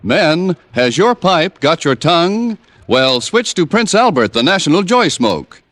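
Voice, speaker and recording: Higher-pitched, animated American pitchman. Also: measured pace, faint music behind. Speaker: commercial announcer. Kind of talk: 1950s scripted tobacco commercial. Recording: old radio broadcast recording.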